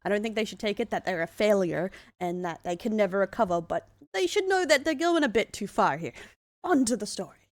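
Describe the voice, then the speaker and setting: A woman's voice: mid-range voice, animated, brisk, no echo. Giggly Australian woman, dry close mic. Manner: chatty, laughing often, amused by the posts. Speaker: VTuber commentator. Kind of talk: casual reaction commentary.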